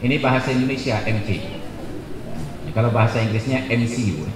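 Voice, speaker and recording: A man's voice: mid-range voice, a little fast. Man preaching in an echoey hall. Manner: jokey, animated, builds to loud emphatic peaks. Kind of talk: humorous sermon at a religious gathering. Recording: echoey hall with background noise.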